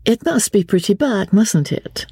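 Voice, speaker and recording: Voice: medium pitch, sing-song, a little slow, creaky, warm tone, slightly rough, no echo, clean studio sound, voice pushed up, exaggerated voice. A narrator voicing a witty, playful young French aristocratic woman, bored and teasing, in an audiobook. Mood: wistful, flippant. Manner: playful, sighing, teasing, with light laughs.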